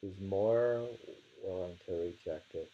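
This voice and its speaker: A man with a gravelly, husky voice reads translated poems aloud and muses over them informally, deliberate with the verse and tentative in his asides. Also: deep voice, highs cut off. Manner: deliberate delivery when reading, musing and tentative in asides. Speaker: reader of poetry.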